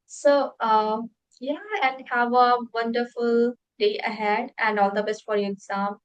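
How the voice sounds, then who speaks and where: A woman's voice: on the high side, flat delivery, a little slow, clean tone, lo-fi sound. A young woman from Uttar Pradesh with an Indian accent, a native Hindi speaker who hosts English conversation practice in a casual, hesitant way with many fillers.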